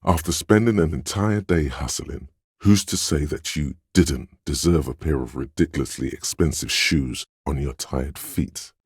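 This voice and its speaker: Game narrator inner voice, super gravelly, wry n theatrical, suave, measured, really dry close sound. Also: male voice, a bass voice, dry recording, heavily acted. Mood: indulgent, grand. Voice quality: gravelly, husky. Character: suave, theatrical inner voice of a detective's flair and agility. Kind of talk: scripted second-person game narration.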